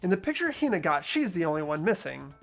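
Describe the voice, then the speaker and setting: The host of a friends' game playthrough, voicing the protagonist with steady deductive reading and laughing between lines. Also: medium pitch, quick pace, vocal fry, soft background music. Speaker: host and lead voice actor of a playthrough. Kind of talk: narrating and voicing a game protagonist, casual chat.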